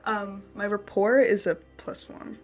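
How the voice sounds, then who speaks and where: A woman's voice: mid-range voice, flat delivery, unhurried, faint music behind. A woman chatting casually and playfully as a player in a tabletop roleplaying game.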